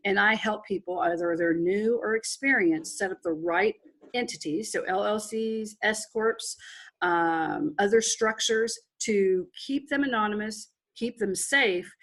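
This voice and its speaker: A middle-aged woman from Texas talks through her story as a podcast guest. Her voice is husky and her pitch sits in the middle. She is candid and driven, often restarting a phrase as she pushes on. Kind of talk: casual interview storytelling.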